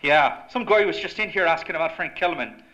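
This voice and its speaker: An actor playing a blunt, suspicious bartender with slangy talk in an old-time radio drama. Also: male voice, fairly high pitch, animated, a little fast, sharp tone. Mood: gruff, guarded. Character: gruff, suspicious male barkeep in downtown dive. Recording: dull lo-fi live stage recording, clear noise.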